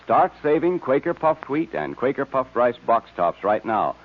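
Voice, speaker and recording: A man's voice: mid-range voice, big pitch swings, moderate pace. A man announcing and selling in an old radio style, emphatic and salesman-like, as if addressing children. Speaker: announcer and sponsor pitchman. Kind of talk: 1930s-40s radio announcing and advertising. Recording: muffled vintage radio broadcast recording.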